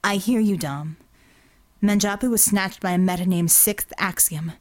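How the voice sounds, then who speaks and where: A woman's voice: mid-range voice, moderate pace, natural voice. A woman voicing an earnest, dutiful male field operative giving clipped reports in an audiobook. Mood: terse, focused.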